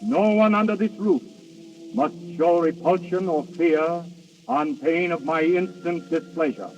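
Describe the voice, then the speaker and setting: A man's voice: fairly high pitch, close to an everyday voice. An actor playing Death as a grand, imperious male spirit, speaking formally and theatrically in an old radio drama. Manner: formal, theatrical, commanding, deliberate phrasing. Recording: dull, distant old radio recording with music.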